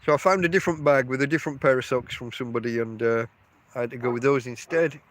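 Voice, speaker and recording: A man's voice: mid-range voice, quick pace. A middle-aged man with a stuffy nose, rambling through a solo podcast outdoors by his tent. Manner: rambling, chatty, self-interrupting. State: congested from a cold. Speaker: podcast host. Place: outdoors at a tent camp in a field.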